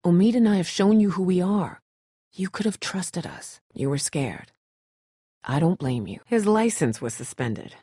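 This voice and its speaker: A tough woman survivor in a dramatic video game, with a low, husky voice. She is blunt and no-nonsense yet caring, and her pitch moves widely as she speaks. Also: expressive, unhurried, mellow, no echo, clean studio sound. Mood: earnest, exasperated.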